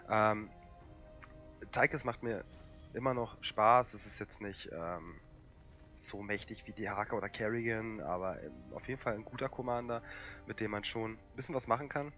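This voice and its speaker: A young man with a cold, hoarse and coughing, casually commentating a strategy game with lots of fillers. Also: fairly low pitch. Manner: relaxed and rambling, many fillers. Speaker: gaming channel host.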